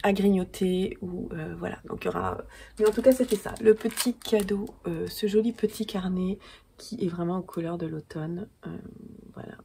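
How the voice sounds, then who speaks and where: French woman vlogging from home, slightly blocked nose, lots of ums and restarts, gravelly voice. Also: lowish voice, normal speed, warm tone, slight background noise. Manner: chatty, many fillers and restarts. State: stuffy from a cold. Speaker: podcaster and yarn dyer. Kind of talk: casual chat to camera.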